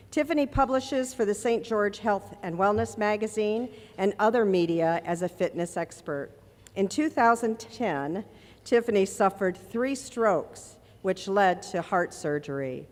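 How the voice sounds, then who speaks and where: Woman host, reads intro steadily from notes, echoey hall. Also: mid-range voice. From Chicago area. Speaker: event host. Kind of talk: reading an introduction. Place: banquet hall.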